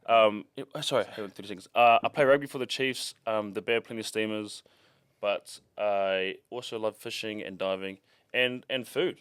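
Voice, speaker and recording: A man's voice: fairly low pitch, moderate pace, fairly bright tone, clear, resonant tone, dry recording, studio quality. A man in his twenties with a New Zealand accent, a podcast guest chatting in an easygoing, joking way. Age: 20s. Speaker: podcast guest, rugby player. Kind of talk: casual chat.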